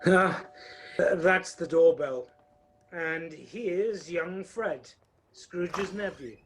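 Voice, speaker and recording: A man narrating a scripted radio play in a wry, measured storytelling manner. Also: a little high, a little hiss or hum.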